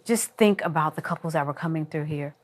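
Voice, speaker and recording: A woman's voice: low-pitched, fast talker. A middle-aged woman speaking in a casual, reflective way as co-host of a talk show.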